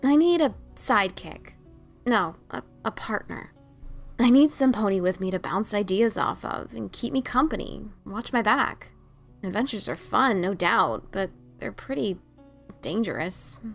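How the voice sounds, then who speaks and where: Woman playing a brash, creaky-voiced pony adventurer. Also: mid-range voice, lively intonation, unhurried, faint music behind. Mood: anxious, confessing. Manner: hesitant, stammering, casual. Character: brash, self-doubting female pegasus pony adventurer-writer. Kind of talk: audio drama dialogue of fan fiction.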